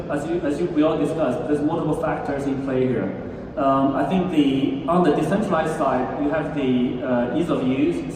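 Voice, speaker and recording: A man speaking on a conference panel, talking in halting stretches with many restarts and fillers. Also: on the high side, background noise. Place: large conference hall stage. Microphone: handheld mic, filmed from far in the audience. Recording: echoey hall filmed from far off.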